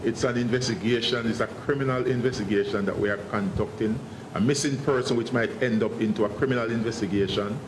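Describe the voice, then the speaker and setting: A man speaking as a senior police investigator at a press briefing, guarded and formal, often halting and repeating words. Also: medium pitch, fairly even intonation, echoey room. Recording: noisy online press briefing audio.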